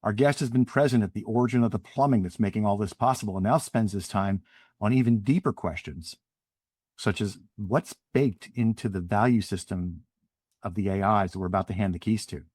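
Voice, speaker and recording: Low-voiced man hosting a podcast. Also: brisk, darkish tone, breathy edge, no echo, clean studio sound. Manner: friendly, scripted introductions. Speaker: podcast host.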